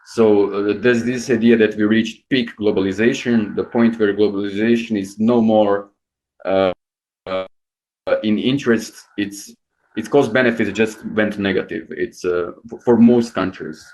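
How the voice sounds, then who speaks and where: A man's voice: on the low side, fairly even intonation, a little slow, darkish tone. A man from Croatia, a business owner on a panel, speaking thoughtfully with restarts and fillers. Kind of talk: panel discussion. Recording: dull lo-fi sound.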